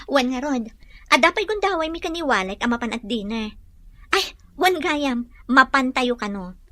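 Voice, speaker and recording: A woman's voice: sits high, lively intonation, moderate pace, sharp tone, no echo. A woman playing a sweet, sensitive young woman in love in an audio drama. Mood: friendly, puzzled. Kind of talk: Ilocano audio drama acting.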